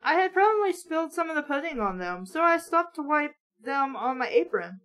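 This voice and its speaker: A woman's voice: high-pitched, big pitch swings, a little fast, a bit bright. A woman reading a story aloud and breaking in with sarcastic, exasperated, sweary commentary as host of a mock book show.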